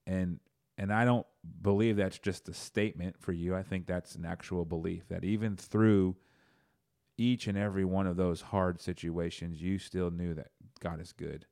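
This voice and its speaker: Deep-voiced man hosting a church podcast. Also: fairly even intonation, moderate pace, creaky, darkish tone, no echo, studio quality. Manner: affirming, encouraging, lots of "wow" and "yeah". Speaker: podcast host. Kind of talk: casual interview.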